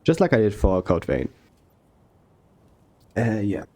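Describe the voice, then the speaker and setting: Soft-spoken man with a low, mellow voice. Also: big pitch swings. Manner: slow, soft-spoken, unhurried; rambling with fillers and self-corrections. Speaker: gaming YouTuber. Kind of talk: relaxed gameplay commentary.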